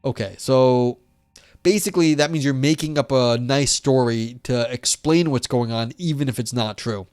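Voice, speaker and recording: A man's voice: medium pitch, normal speed, a little mellow, clear, resonant tone, dry recording, studio quality. A man reading philosophy papers aloud on a live stream and chatting with viewers in a rambling, filler-heavy way, his voice wearing thin. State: losing his voice.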